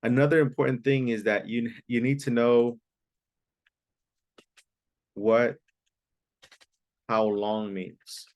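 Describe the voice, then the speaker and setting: A man's voice: medium pitch, steady tone, slow, long pauses. A man teaching an online English class, patiently repeating key phrases and sometimes explaining in Spanish. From Mexico.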